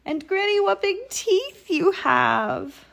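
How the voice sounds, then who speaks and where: Woman doing a little girl, storybook heroine, high-pitched, slow, innocent, sweet, wide-eyed, polite. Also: big pitch swings, gravelly, fairly bright tone, heavily acted. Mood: puzzled, wondering.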